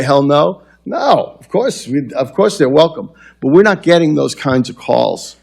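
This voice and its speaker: A middle-aged man with a New York City accent addresses a public forum from a podium in a large chamber, talking conversationally with frequent fillers and restarts. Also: mid-range voice. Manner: conversational, many fillers and restarts, animated hand gestures. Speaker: mayor presenting at a public forum. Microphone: podium microphone, far camera.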